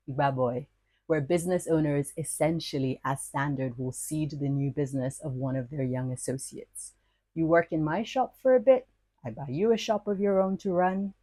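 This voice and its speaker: A woman's voice: low-pitched, a little mellow, husky, no room sound. A woman from Nigeria hosting a podcast, reading her own lyrical personal essay in a playful, wordy, self-mocking way. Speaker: podcast host. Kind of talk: scripted personal essay narration.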